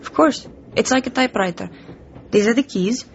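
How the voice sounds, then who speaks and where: A woman's voice: a little high, moderate pace, gravelly. An actress playing a young Russian woman spy in a radio drama, tender but defiant and secretive, with a Russian accent. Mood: defensive. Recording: dull lo-fi recording, background noise.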